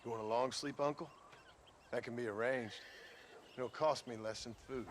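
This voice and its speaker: An actor playing a gruff, short-tempered but protective outlaw father in a western game, with a curt, sardonic American drawl. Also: medium pitch, fairly even intonation, normal speed, a little hiss or hum, natural voice. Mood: sardonic, scolding. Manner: rural Old West drawl, curt and sardonic. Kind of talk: western video game voice acting.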